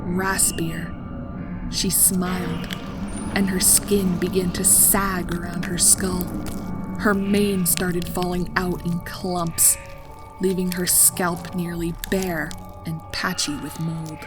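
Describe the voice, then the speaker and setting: A woman narrating a scripted horror story in a dramatic, deliberate storytelling manner. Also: lowish voice, level tone, loud music behind the voice. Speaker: fan fiction narrator.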